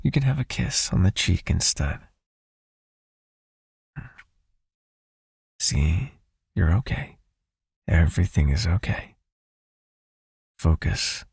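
Male vampire captor, acted by a man for ASMR roleplay: very husky, unhurried, close dry sound, possessive yet soothing, coaxing his knight into obedience. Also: sing-song, no echo, heavily acted. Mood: teasing, tender. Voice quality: husky, breathy, low, often near a whisper. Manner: slow, coaxing, gently commanding. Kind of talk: intimate ASMR hypnosis roleplay.

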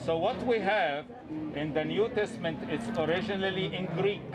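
A man whose first language is Arabic, debating on a street and pleading to stay on topic, with halting phrasing. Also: high-pitched. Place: outdoor street event. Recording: loud street noise behind.

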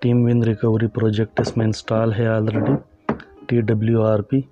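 A man calmly narrating a step-by-step phone tutorial and talking to viewers as friends. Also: on the low side, normal speed, highs cut off, music in the background. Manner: calm, instructive, addresses viewers as friends. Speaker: tech tutorial host.

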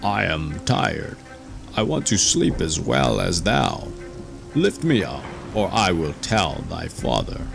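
A man voicing a demanding frog from a fairy tale, with slow, imperious pleading in old-fashioned phrasing. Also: low voice, huge pitch swings, creaky, over music, full character voice. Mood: tired, threatening. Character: insistent, demanding male frog, an enchanted prince.

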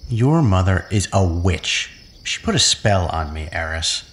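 Low, intense, obsessive captive. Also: male voice, very animated, a little slow, a bit bright, heavily acted. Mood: brooding, probing. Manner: intense, commanding, brooding. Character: obsessive, manipulative male captive, intense and demanding. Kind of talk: audio drama acting.

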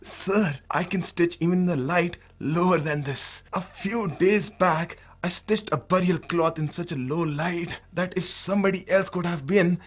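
Simple, greedy old male tailor for kids' animation, raspy and hesitant. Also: sits high, measured pace, hardly any pauses. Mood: chatty, proud. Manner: hesitant, repeating words. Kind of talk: animated children's story dialogue.

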